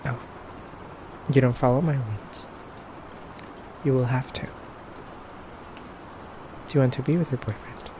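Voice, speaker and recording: A man playing an effeminate vampire interrogator in an ASMR roleplay, speaking softly and deliberately with teasing, condescending menace and breathy pauses. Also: medium pitch, noisy background, close to an everyday voice. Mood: stern, threatening. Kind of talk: ASMR roleplay, soft intimate acting.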